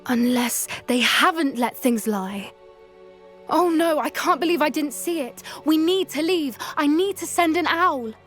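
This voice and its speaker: A high-pitched teenage British witch from a video game. She is earnest and gentle, an expressive young animal lover, with music playing behind her voice. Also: a little slow. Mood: uneasy.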